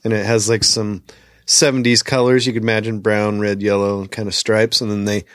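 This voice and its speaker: A man's voice: fairly low pitch, flat delivery, normal speed, dry recording. A man hosting a casual podcast, reading news items aloud and adding his own asides. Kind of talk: casual chat.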